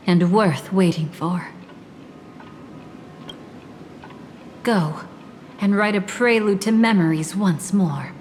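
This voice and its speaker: An actress playing an enigmatic, composed woman in a video game, guiding a dreamer in a measured, solemn and cryptic way. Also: on the low side, a little mellow. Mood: hushed, intent. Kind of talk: scripted video game dialogue. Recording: long hall-like reverb.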